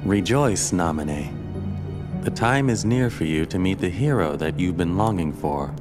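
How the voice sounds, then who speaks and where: A man playing a suave, manipulative villain mastermind in a video game, grand and measured. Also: deep voice, lively intonation. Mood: silky command. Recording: music behind the voice.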